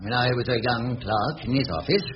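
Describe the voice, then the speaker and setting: An actor playing a pompous, long-suffering middle-aged manager with an English accent in a radio sitcom. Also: male voice, mid-range voice, a little fast, lightly acted. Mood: officious. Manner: pompous, officious, self-pitying. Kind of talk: British radio sitcom acting. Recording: muffled, noisy old radio recording.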